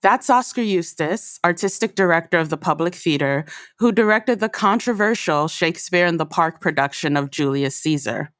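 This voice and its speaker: Female host for scripted podcast narration. Lower register, very dry recording. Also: lively intonation, moderate pace, fairly bright tone, dry recording.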